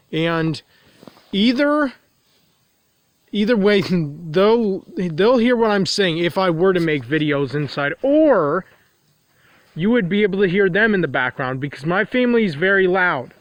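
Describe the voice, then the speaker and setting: A man's voice: high voice, no room sound. Congested nasal man rambling outdoors. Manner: repetitive, circling back to restate points. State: congested from a runny nose. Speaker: vlogger. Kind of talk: rambling confessional monologue. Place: outdoors in the cold.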